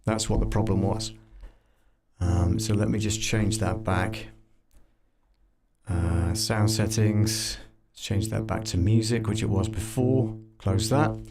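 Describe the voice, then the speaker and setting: Male audio tutor for a casual live demo: slightish low pitch, gravelly creak, halting think-aloud delivery. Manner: halting, thinking aloud, muttering test counts. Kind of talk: casual live software demonstration, troubleshooting aloud.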